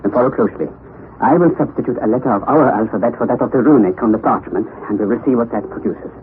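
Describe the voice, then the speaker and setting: An actor playing an impetuous, eccentric, scholarly professor of about fifty, excitable and exclamatory, in a vintage radio drama. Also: male voice, mid-range voice, fast talker, lightly acted. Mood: musing. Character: eccentric, impetuous middle-aged male professor. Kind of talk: 1960s radio drama acting. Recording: tinny lo-fi vintage radio broadcast with hiss.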